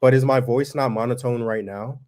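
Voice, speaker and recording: Man, monotone delivery, laid-back, folksy, casual vlog host, middle-pitched voice. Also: normal speed. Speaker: family farm vlogger, host.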